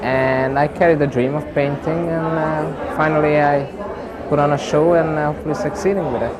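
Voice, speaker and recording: A man's voice: fairly high pitch, level tone, moderate pace, rough recording. Hesitant Hungarian-accented man, busy crowd. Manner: hesitant, many fillers, searching for words. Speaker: painter. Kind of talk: interview about his paintings. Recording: loud crowd at an event.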